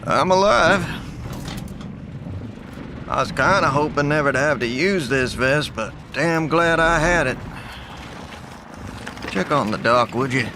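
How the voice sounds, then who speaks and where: An actor playing a wounded, laconic survivalist in an audio drama, talking in a dry, folksy, unhurried way. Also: male voice, fairly high pitch. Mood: wry, strained. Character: gruff, laconic male survivalist metal worker, wounded. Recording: noisy outdoor vehicle ambience.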